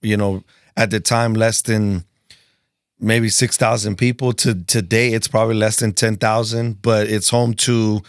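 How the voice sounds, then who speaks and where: Excitable, foul-mouthed guest with a dry sound. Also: male voice, fairly low pitch, measured pace, clean tone, dry recording. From Washington State, USA. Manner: animated, excitable, swears freely. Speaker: podcast guest. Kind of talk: casual podcast chat.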